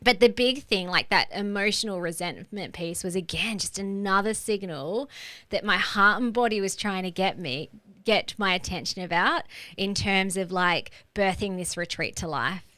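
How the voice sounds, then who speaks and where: A woman, co-hosting a podcast and telling a personal story in an open, chatty way, often laughing and filling pauses with "like". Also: mid-range voice, bright, forceful tone, no room sound. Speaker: podcast co-host.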